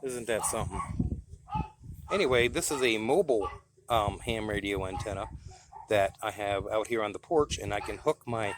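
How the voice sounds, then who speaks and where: Low-pitched American man, folksy and plain-spoken. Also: moderate pace, background noise. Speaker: radio hobbyist presenting his gear. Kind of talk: casual product demonstration.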